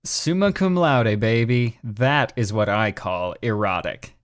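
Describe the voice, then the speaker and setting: A man's voice: on the low side, lively intonation, slow pace, no room sound, studio quality. A man co-hosting a podcast, doing mocking dramatic readings and casual commentary in a sarcastic, exasperated way. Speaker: podcast co-host.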